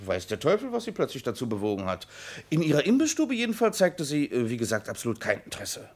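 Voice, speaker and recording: A man's voice: medium pitch, huge pitch swings, fast, a little mellow. An actor playing a talkative, slightly flustered insurance salesman in a vintage radio crime drama. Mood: puzzled.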